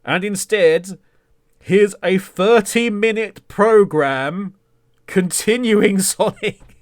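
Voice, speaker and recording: A man's voice: high voice, takes it slowly. A man hosting a podcast in casual solo talk, with a rambling, self-deprecating and mock-indignant humour. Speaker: podcast host.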